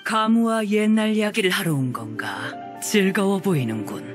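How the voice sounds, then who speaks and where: A woman's voice: mid-range voice, normal speed, lightly acted. An actress playing an ancient, playful female immortal in a game, with stately, archaic phrasing and teasing amusement. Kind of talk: Korean game voice acting. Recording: music behind the voice.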